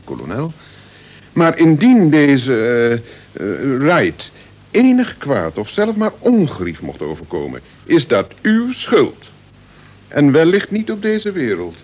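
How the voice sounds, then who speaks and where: An actor playing a pompous, sanctimonious prison chaplain in a radio play, formal and preachy with a husky voice. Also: male voice, on the high side, wildly swooping pitch, a little slow, darkish tone, exaggerated voice.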